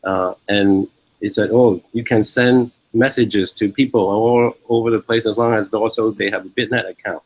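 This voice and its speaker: A man in his fifties with a Hong Kong accent speaks in a fairly low voice. He answers interview questions in a measured, explanatory way, working out his thoughts aloud. The sound is close and dry. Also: steady tone, dry recording, poor audio. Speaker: academic interviewee.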